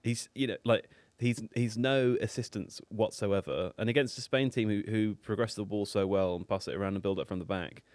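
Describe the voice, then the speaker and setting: Guy hosting a live football watchalong, chatty and jokey, pretty low voice, dead dry mic. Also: steady tone, a little mellow, dry recording. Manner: chatty, playful, quick to joke. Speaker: host. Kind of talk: live match watchalong chat. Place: studio.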